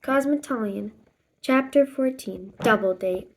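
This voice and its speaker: A woman's voice: fairly high pitch, a little slow, slight background noise. A woman reading a storybook aloud, narrating and acting out the dialogue and sound effects expressively.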